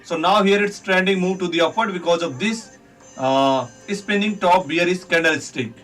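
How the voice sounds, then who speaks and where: A man with a slightly high voice walks viewers through trading charts on a live stream. He sits back from the mic, so he sounds a little distant, and faint music plays underneath. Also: moderate pace, fairly bright tone. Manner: talks viewers through charts while reading chat.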